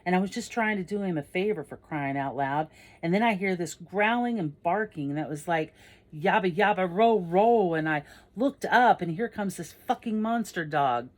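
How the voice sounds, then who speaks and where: Casting: female narrator as excitable, foul-mouthed teen boy, audiobook dialogue, emphatic and slangy, raised voice. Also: on the low side, normal speed, natural voice. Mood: excited, alarmed. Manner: emphatic, slangy, self-correcting. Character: excitable, foul-mouthed teenage boy telling a tall story.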